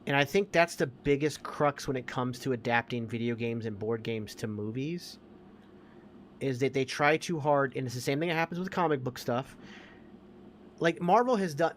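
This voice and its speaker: A man's voice: mid-range voice, moderate pace, slight background noise. A man co-hosting a podcast, talking casually and emphatically as he gives his opinions. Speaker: podcast co-host.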